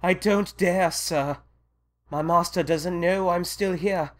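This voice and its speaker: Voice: mid-range voice, fairly even intonation, takes it slowly, lightly acted. An actor playing a timid, loyal young manservant in an audio drama, hesitant and deferential. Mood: fearful. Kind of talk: audio drama acting of modernized Shakespeare.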